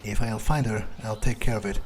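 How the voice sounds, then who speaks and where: A man's voice: on the low side, fairly even intonation, fairly quick, soft background music, natural voice. A man voicing a cynical, self-serving vampire, thinking aloud as he roleplays through a video game. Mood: musing, cynical. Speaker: gamer roleplaying his character.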